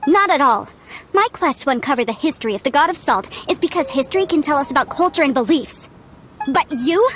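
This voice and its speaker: A woman's voice: high voice, expressive, brisk, a bit bright, slight background noise. An actress playing an earnest, indignant young female archaeologist in a video game, arguing defensively and with idealism.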